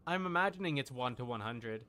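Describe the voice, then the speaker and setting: A man giving casual, deadpan joking live commentary while playing a video game. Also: mid-range voice, a little fast. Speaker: game streamer. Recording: clear background noise.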